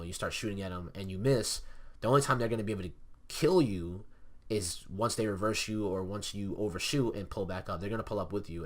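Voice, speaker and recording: A young man with a stuffy nose, casually explaining flight tactics over replayed gameplay footage, with many fillers. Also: low-pitched. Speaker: gameplay commentator.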